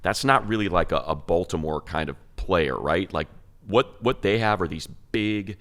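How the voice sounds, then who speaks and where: A man's voice: sits low, animated, normal speed, a bit bright. A man chatting casually and emphatically as a football show panelist, breaking down players and game film.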